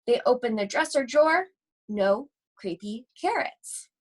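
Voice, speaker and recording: A woman reading a children's storybook aloud expressively, with deliberate, suspenseful phrasing and drawn-out words. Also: on the high side, lively intonation, breathy edge. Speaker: school library read-aloud host.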